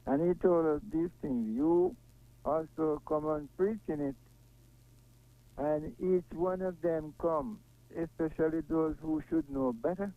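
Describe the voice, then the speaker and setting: A man with a Jamaican accent chatting casually in an earnest, agreeable way, heard through a tinny, narrow recording. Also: fairly high pitch. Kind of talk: casual chat. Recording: tinny, phone-like narrow sound.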